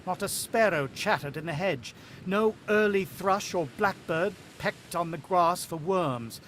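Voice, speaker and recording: A man's voice: sits high, unhurried, a bit bright, slight background noise. A man acting in a radio drama as a shaken, earnest, protective father with a hesitant way of explaining himself. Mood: grim, somber. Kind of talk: radio drama acting and first-person narration.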